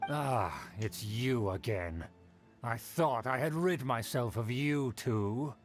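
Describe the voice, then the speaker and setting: A man's voice: mid-range voice, animated, slow pace, faint music behind. An actor voicing a haughty, condescending male aristocrat in a video game, speaking in a pompous, formal way.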